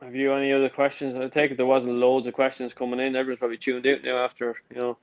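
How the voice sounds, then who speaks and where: A man's voice: mid-range voice, completely flat delivery, fast, no room sound. Irish man on a dull video call. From Ireland. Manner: chatty, frequent "look" and "yeah" fillers, many restarts. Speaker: guest, golf company founder. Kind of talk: casual video call interview. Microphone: video call, built-in mic.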